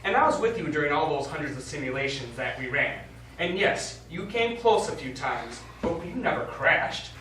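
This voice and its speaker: An actor playing a protective, peacemaking space mission commander in a stage play. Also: male voice, a little high, expressive, moderate pace, bright, forceful tone. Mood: incredulous. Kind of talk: stage acting. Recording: very echoey distant stage recording, faint noise.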